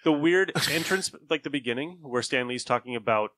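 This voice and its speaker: A man's voice: mid-range voice, animated, fairly quick, sharp tone, dry recording, poor audio. A man hosting a podcast, talking in a casual discussion with friends. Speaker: podcast host.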